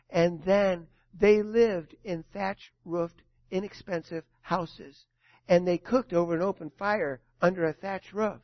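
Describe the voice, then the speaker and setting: A man in his sixties preaches a sermon in a voice set a little high. He tells his stories in big dramatic swells and then drops to a hush. The sound is dull and very dry. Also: dry recording. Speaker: pastor.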